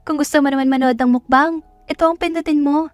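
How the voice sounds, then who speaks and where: A woman's voice: high-pitched, steady tone, fast, no echo, faint music behind, pitched above the performer's own voice, close to an everyday voice. A woman voicing a bubbly, kind, chatty young female housemate in a romance audio drama. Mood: chatty, instructive. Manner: exclaiming, chatty, bossy in a friendly way.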